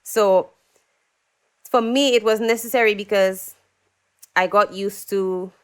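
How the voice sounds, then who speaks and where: Young woman, singer guest, smooth clear tone, mid pitch, chatty and animated, acts out quotes. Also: slow pace. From Dominica. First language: English. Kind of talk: casual interview storytelling.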